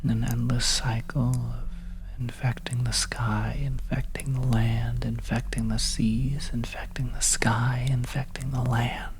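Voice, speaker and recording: An ASMR performer of unclear sex playing a bleak, weary narrator of a desolate world in a halting, hushed whisper over oppressive ambience. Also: mid-range voice, little pitch movement, natural voice. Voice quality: whispery, soft. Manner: halting, hushed, drawn-out phrasing. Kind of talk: slow whispered horror ASMR monologue. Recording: oppressive ambient noise behind voice.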